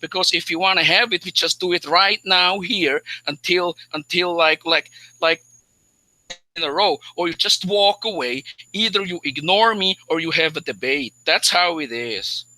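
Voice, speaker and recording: Bright-voiced man ranting, stammering. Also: high-pitched, moderate pace, dry recording, poor audio. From Switzerland. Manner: ranting, profane, stammering repeats. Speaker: livestream guest. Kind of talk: heated livestream argument.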